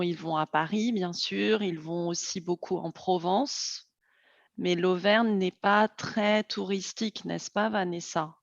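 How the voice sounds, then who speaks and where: A woman with a lowish voice hosts a slow, carefully paced interview for learners, friendly and full of small hesitations. She sounds as if she is on a video call, with a dull, dry, lo-fi quality. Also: vocal fry, no room sound. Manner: friendly, with frequent hesitations and light laughs. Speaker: host.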